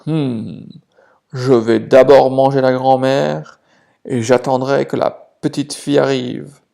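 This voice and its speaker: A scheming big bad wolf from a fairy tale, voiced by a storyteller. The delivery is slow and sly, with a mellow, gravelly tone. He sounds flattering and hungry. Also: medium pitch.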